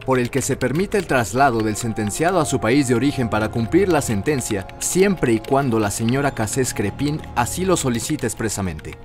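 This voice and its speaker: An actor playing a head of state reading a diplomatic letter in a measured, official manner. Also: male voice, medium pitch, lightly acted. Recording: loud music under the voice.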